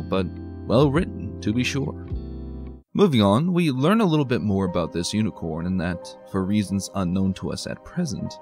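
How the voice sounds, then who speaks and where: A man presenting a scripted review in an expressive, emphatic way, with dramatic pauses. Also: fairly low pitch. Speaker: host, reviewer of fan fiction. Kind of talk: scripted video review commentary.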